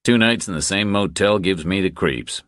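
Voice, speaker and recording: A man's voice: deep voice, normal speed, a bit bright, dry recording, clean studio sound. A man voicing a laconic, easygoing ex-Army drifter in an audiobook, terse and understated. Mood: matter-of-fact.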